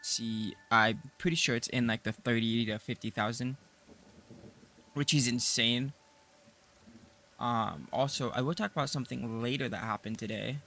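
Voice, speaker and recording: A man with a raspy voice, groggy from just waking up, casually chatting through a live gameplay stream and rambling with self-deprecating humor. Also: mid-range voice, moderate pace, pauses often, bright, forceful tone, a little hiss or hum. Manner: rambling, self-deprecating, with frequent fillers and laughs. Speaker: game streamer.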